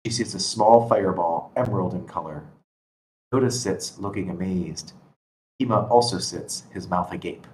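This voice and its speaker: A man's voice: deep voice, normal speed, darkish tone, low-quality recording. A man reading scripted stage directions as narrator at a screenplay table read. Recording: constant background noise.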